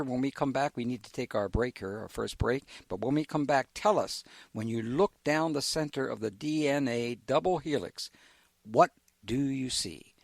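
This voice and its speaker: An affable, reassuring male radio host talks in a conversational way with his guest. His voice is gravelly, with a lively, swinging pitch. The sound is dry and close. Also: dry recording. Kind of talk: radio interview.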